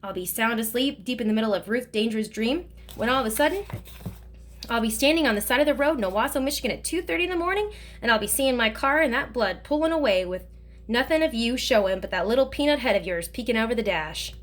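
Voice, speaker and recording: A woman's voice: fairly high pitch, expressive, quick pace. A woman voicing a teasing folksy old man. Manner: joking, folksy, talkative. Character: teasing kindly older male driver.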